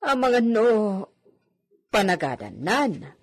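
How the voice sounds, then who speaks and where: A woman's voice: medium pitch, big pitch swings, takes it slowly, husky, full character voice. A woman with an Ilocano accent playing a fussing, curious household maid in a radio drama, full of interjections.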